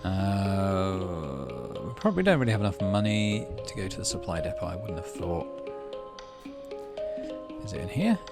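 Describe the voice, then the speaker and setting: A man hosting a gaming channel, reading a visual novel aloud and making relaxed, musing asides. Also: sits low, normal speed, long pauses, loud music behind the voice.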